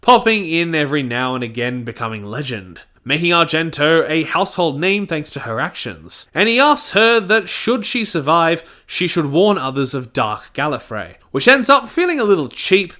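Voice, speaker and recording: Guy reading out a review, pretty high voice, really swoops up and down, bit jokey. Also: big pitch swings, moderate pace, hardly any pauses, bright, forceful tone. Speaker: audio drama reviewer. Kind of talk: scripted review narration.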